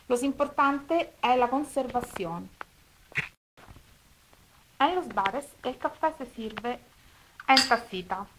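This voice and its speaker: An Italian woman with an Italian accent speaking Spanish as a second language, demonstrating a recipe step by step at home in a careful, slightly halting way. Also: on the high side, expressive, noisy background. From Italy. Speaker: cooking video host. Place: home kitchen table.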